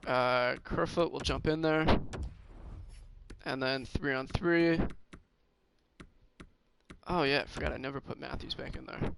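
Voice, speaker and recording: A man with a slightly hoarse, raspy voice, casually commentating on his own game and thinking aloud with lots of fillers. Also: mid-range voice, fairly even intonation, a little slow, pauses often, background noise. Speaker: gaming streamer.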